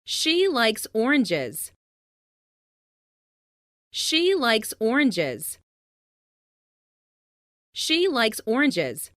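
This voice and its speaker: A woman reading scripted English example sentences for language learners, enunciating carefully and deliberately. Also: fairly high pitch, sing-song, a bit bright, no room sound, studio quality. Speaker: English lesson narrator.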